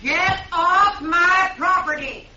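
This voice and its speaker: A woman's voice: very high voice, slow pace, pushed and bright, exaggerated voice. A woman playing a defiant homesteader in a radio western, giving stern, threatening warnings. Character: defiant, armed female homesteader guarding her land. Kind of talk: old-time radio western acting. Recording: dull lo-fi radio drama recording.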